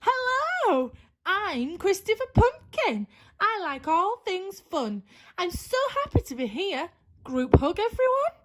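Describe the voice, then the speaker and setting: Storybook pumpkin boy, played by a woman: high-pitched, bouncy, cheerful, kind, eager to please. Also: very animated, slow, fairly bright tone, a little hiss or hum, exaggerated voice. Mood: joyful. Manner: sunny, eager, friendly. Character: cheerful, kindly young male pumpkin who loves fun.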